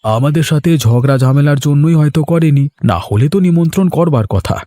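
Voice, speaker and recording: Man as young cartoon villager, easygoing, dutiful, fairly high male voice, rapid pace. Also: warm tone, no echo, lightly acted. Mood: calm, resigned. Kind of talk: Bengali moral-story cartoon voice acting.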